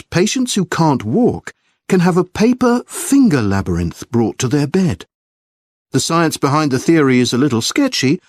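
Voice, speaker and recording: A man delivering a scripted academic lecture in a measured, clearly enunciated way. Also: fairly high pitch, darkish tone, dry recording, clean studio sound. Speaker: lecturer reading an exam listening passage.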